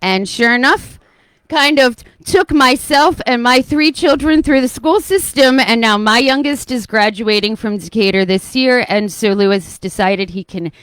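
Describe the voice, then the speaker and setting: Higher-pitched American woman chatting on radio. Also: measured pace, a bit bright, clear, resonant tone, no echo, poor audio. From Ocean City, Maryland. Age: 50s. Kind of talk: casual radio chat, reminiscing. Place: close-miked radio studio.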